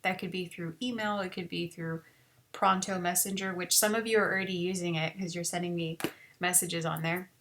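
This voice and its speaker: A woman from South Texas, a college biology instructor, giving a relaxed, chatty online class orientation over a video call. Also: lowish voice, fairly quick, slight background noise. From Rio Grande Valley, South Texas. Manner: relaxed, chatty, many fillers.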